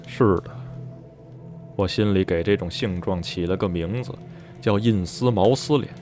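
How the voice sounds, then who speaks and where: Guy playing a haunted man with a deep voice telling grim memories, radio drama in mandarin, music behind him. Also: darkish tone, natural voice. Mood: grave, disgusted. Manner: measured, literary storytelling with grave unease. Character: uneasy man recounting his dreadful memories of a sinister town. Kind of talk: radio drama acting, narrating in interview.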